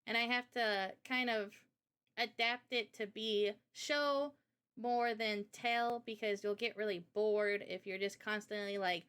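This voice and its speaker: A woman's voice: medium pitch, fairly even intonation, a little slow, a bit bright, clean tone. A woman talking casually and informally in a livestream Q&A, explaining a project as its developer in slangy everyday language. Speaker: game developer presenting a visual novel project.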